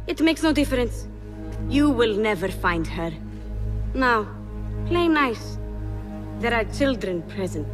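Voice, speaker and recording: A woman's voice: a little high, big pitch swings, slow pace, pauses often. An actress playing a cunning, composed woman poisoner with fierce loyalty and a taunting manner, in a game cutscene. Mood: defiant, taunting. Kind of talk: dramatic video game cutscene acting. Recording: music loud behind the voice. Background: dramatic score.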